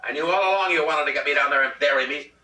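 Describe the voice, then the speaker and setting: Man putting on a scornful nagging wife, very forceful. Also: a little high, racing, pitched above the performer's own voice. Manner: curt, dismissive, sarcastic. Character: stubborn, scornful, nagging wife who refuses to budge. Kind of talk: dramatic reading of a comic short story. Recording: roomy, distant rehearsal recording.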